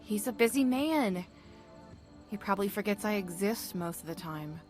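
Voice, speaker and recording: A woman's voice: mid-range voice, expressive, moderate pace, darkish tone, faint music behind, lightly acted. An actress playing a stern, weary, sensible young woman in a visual novel, with a dry, resigned manner. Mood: resigned, wistful.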